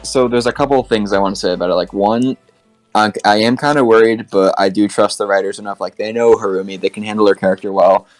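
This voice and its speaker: Low-pitched man chatting casually. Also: steady tone, fast, no room sound, soft background music, low-quality recording. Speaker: podcast panelist. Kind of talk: casual fan discussion.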